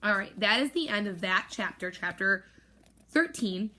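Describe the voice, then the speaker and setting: A woman teacher reading a novel aloud to her students, with expressive narration. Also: normal speed. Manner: expressive, raises pitch for girls' voices. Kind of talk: storybook read-aloud with character voices.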